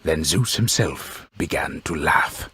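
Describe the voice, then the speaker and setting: A man playing a grave, pompous storyteller in a mythic video game, narrating deliberately with wry, deadpan solemnity and a gravelly voice. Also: a bass voice, dry recording, studio quality, exaggerated voice. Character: grave, pompous old storyteller narrating Greek myth, wryly ominous. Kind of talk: scripted dramatic storybook narration.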